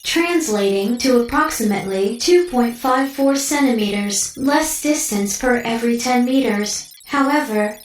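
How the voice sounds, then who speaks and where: Clinical female AI , voiced by a woman: higher register, literal, detached, distant echoing sound, music underneath. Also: a little hiss or hum, close to an everyday voice. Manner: precise, formal, literal and detached. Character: coolly clinical female artificial intelligence delivering blunt data. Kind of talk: audio drama acting.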